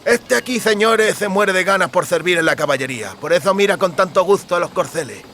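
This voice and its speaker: A man's voice: high-pitched, animated, brisk, a bit bright, slight background noise, pitched above the performer's own voice. A man voicing a servile, wheedling rogue in an audiobook, with an obsequious manner. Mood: servile, ingratiating. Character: servile, wheedling male rogue with missing teeth. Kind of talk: audiobook character acting.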